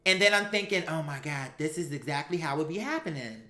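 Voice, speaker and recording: Sassy, bright-voiced woman storyteller in an echoey room. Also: low-pitched, expressive, brisk. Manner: sassy, emphatic, acts out quoted dialogue; profane, slangy. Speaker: storyteller recounting a personal experience. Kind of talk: animated personal storytelling.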